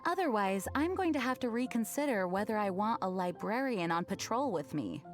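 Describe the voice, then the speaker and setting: A woman's voice: medium pitch, animated, moderate pace, over music, lightly acted. A woman playing an earnest, stern young knight leader in a video game, formal, composed and firm.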